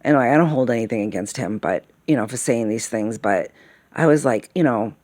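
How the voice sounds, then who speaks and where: A woman's voice: a bass voice, expressive, fairly quick, a little mellow, dry recording. A woman in her late fifties rambling through a casual monologue, sighing often and checking in with "okay?" and "all right?". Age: late 50s. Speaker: vlogger.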